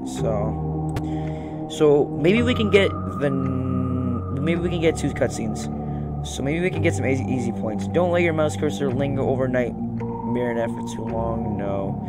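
A young man giving casual let's-play commentary, thinking aloud and repeating his phrases. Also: medium pitch. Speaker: gaming YouTuber. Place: small room indoors at home. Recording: loud game music behind voice.

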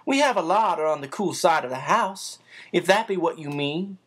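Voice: mid-range voice, animated, moderate pace, husky. A reader voicing a large, bustling, talkative housekeeper woman with old-fashioned, homely speech. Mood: chatty.

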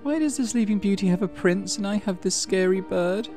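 A man's voice: high voice, a little fast, music in the background, pitched above the performer's own voice. A man voicing a scared little sister in a horror game, plaintive and pleading. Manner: plaintive, whimpering. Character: frightened little girl, pleading younger sister.

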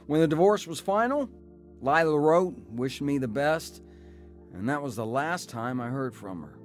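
A man's voice: on the high side, animated, unhurried, faint music behind. A man playing a disillusioned young husband and father in a radio drama, both narrating and talking in scenes. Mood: reflective, regretful. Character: disillusioned young husband and father recalling his troubled life. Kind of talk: radio drama acting with first-person narration.

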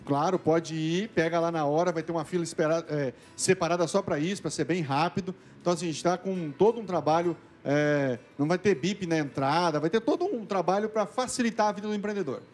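Guy in his 40s, quick and upbeat, talking into a handheld mic in a tv studio. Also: on the high side, slight background noise. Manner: energetic, promotional, fast-flowing. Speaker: event organizer guest. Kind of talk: live TV studio interview. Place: TV news studio.

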